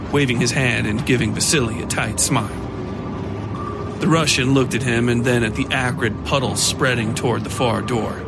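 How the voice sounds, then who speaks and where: A man with a medium-pitched voice narrates a horror story. His delivery is scripted and dramatic, and music plays clearly behind him. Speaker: narrator of a horror fiction podcast.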